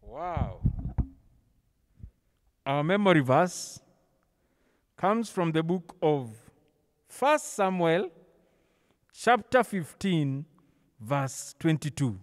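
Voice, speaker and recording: Kenyan male children's Sunday school teacher, high voice, theatrical storyteller, handheld mic in a very reverberant church hall. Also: very animated, very slow, gravelly, long pauses. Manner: theatrical, acting out dialogue with stammered repetitions; code-switches casually between languages. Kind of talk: animated Bible storytelling for children. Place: large church hall, on stage. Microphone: handheld microphone, held close over face mask.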